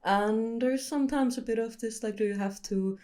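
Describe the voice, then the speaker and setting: A young woman from Finland, a photographer answering interview questions candidly and thoughtfully, often pausing to search for words. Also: fairly high pitch, mellow. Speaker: photographer guest.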